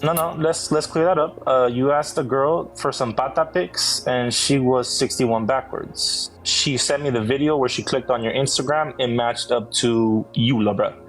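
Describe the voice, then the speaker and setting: A man's voice: mid-range voice, steady tone, moderate pace, hardly any pauses, rough recording. A man interviewing someone in a pressing, accusatory way, using casual street slang. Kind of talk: confrontational interview.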